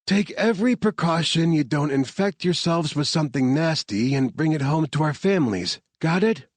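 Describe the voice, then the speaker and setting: A man's voice: on the high side, lively intonation, normal speed, no room sound, voice pushed up. A man voicing a female team leader giving orders before a raid, his voice raised well above his own. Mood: commanding.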